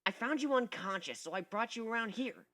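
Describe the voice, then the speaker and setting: A man's voice: high-pitched, animated, brisk, bright, forceful tone, pitched above the performer's own voice. A man voicing a brash, tough young female griffin with a brusque, scolding manner in an amateur story reading.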